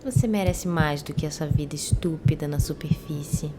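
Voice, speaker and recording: A woman playing a seductive, sassy mermaid in an audio drama, speaking in a soft, delicate, beguiling way. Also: low voice, moderate pace, very noisy, natural voice. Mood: sassy, contemptuous.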